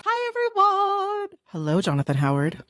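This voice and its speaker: A woman's voice: very high-pitched, wildly swooping pitch, normal speed, fairly bright tone, dry recording, studio quality, faint music behind. A woman co-hosting a podcast in casual chat. She is bubbly, laughs often and uses many fillers. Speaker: podcast co-host.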